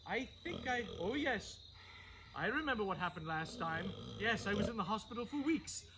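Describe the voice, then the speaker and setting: A man playing a fussy, theatrical children's storytime host who addresses children in an exclaiming, sing-song way, with a husky voice. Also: sits high, sing-song, normal speed, far from the mic, background noise. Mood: nervous, hushed. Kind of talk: hammy comedic children's read-aloud.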